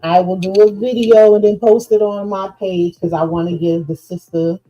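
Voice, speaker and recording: A woman hosting a podcast, chatting slowly and loosely with lots of fillers. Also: medium pitch, steady tone, warm tone, rough recording. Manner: slow, drawn-out, chatty with many fillers. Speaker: podcast host. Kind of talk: casual chat.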